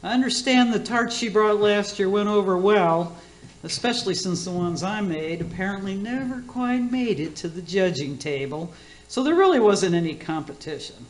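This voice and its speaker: A woman's voice: mid-range voice, animated, moderate pace, lightly acted. A woman playing a batty, boastful older aunt who hosts a radio cooking show in a comic radio play, with a folksy, theatrical, catty manner. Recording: roomy echoing sound.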